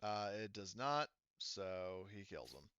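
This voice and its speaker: A man's voice: low-pitched, big pitch swings, slow pace, highs cut off, background noise. A man casually narrating a tabletop game as game master, thinking aloud through the rules in an informal way.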